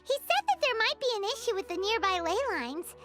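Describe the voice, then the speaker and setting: A woman's voice: extremely high pitch, sing-song, brisk. A woman voicing a tiny, chatty, cheerful female companion in a game cutscene, who talks about herself in the third person. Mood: curious. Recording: game audio with music behind.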